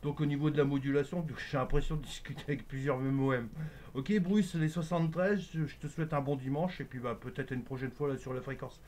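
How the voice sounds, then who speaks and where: A man's voice: mid-range voice, fairly quick, darkish tone. A man chatting as an amateur radio operator in a casual, reassuring way, using procedural radio jargon.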